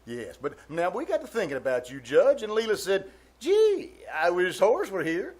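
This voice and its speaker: A man's voice: high-pitched, huge pitch swings, moderate pace, a little mellow, full character voice. An actor playing a pompous, jovial middle-aged bachelor uncle in 1940s-style radio comedy, chuckling and hemming as he goes. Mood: jovial, wheedling. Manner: blustering, chuckling, hemming and hawing. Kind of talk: 1940s radio comedy acting before a live audience. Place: small-town theater stage.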